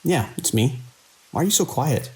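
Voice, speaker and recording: A man playing a weary, caring middle-aged hospital director in an audio drama, measured and dry with small hesitant pauses. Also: medium pitch, expressive, a little mellow, close to an everyday voice, rough recording. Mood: concerned.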